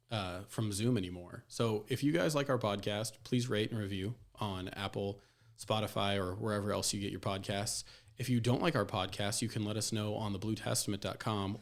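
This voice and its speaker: Deep-ish male podcast co-host. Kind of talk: casual chat. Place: recorded in person in a shared room.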